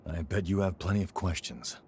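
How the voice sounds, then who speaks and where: An actor playing a loyal, frank young fighter in a video game, talking casually. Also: male voice, low-pitched, brisk, darkish tone, slight background noise, lightly acted. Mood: earnest, reflective. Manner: casual, colloquial. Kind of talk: video game cutscene dialogue.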